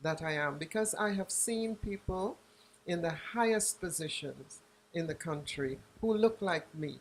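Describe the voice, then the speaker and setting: An older woman from Guyana being interviewed as an author and journalist, speaking reflectively and pausing to search for words. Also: lowish voice, slight background noise, rough recording.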